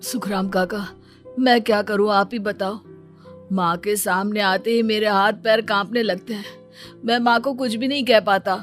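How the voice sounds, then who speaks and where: A woman voicing a timid, gentle young man in a cartoon story, sounding meek and helpless. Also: medium pitch, fairly even intonation, normal speed, soft background music, lightly acted.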